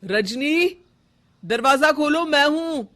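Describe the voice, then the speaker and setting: A woman's voice: high-pitched, animated, takes it slowly, a bit bright, pitched above the performer's own voice, exaggerated voice. A woman voicing a caring but insistent young husband in a cartoon story, with emphatic, pleading delivery. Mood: confronting. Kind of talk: animated moral story dialogue.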